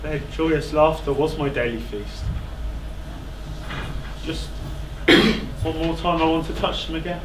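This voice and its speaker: A man on stage playing an anguished, self-loathing fallen king in a classical tragedy, declaiming in halting, pleading phrases. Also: fairly high pitch. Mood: tender pleading. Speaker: stage actor. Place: indoor theatre stage. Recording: echoey theatre, distant microphone, faint noise.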